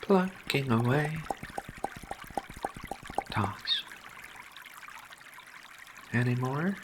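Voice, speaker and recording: A performer voicing a gentle, affectionate furry female alien researcher in an ASMR roleplay, soft-spoken and soothing, with unhurried pauses. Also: mid-range voice, animated, background noise.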